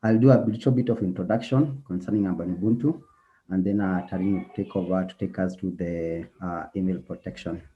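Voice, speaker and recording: A man with a low voice introduces a webinar session over a call. He speaks haltingly, pausing often on "uh". The audio is dull and lo-fi. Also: steady tone. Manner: hesitant, many "uh" pauses.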